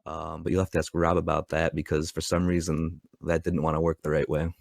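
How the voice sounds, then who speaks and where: A man explains game development casually in a very low voice. His intonation stays quite flat, and the sound is close and dry, with no room echo. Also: fairly quick, gravelly.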